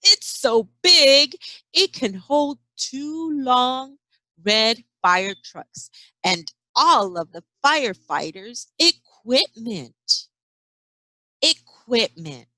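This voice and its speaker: A woman reads a picture book to young children in a bright, fairly high voice. She takes her time and delivers it expressively, like a teacher, adding sound effects and chatty questions. The sound is close and dry. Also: sing-song, very slow, no room sound, poor audio. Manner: acts out sound effects and exclamations. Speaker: early education teacher.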